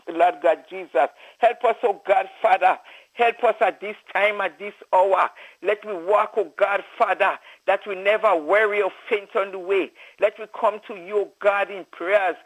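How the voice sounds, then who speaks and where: A man's voice: high voice, a bit bright, low-quality recording. A man leading a fervent spoken prayer, calling out "oh God, Father" between his phrases. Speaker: prayer leader.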